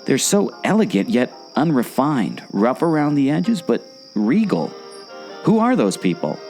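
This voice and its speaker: A man playing an excitable, skeptical, wisecracking amateur explorer in a scripted audio drama, with a theatrical, jokey delivery. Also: mid-range voice, measured pace, faint music behind. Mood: intrigued. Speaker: co-host of a theme park podcast.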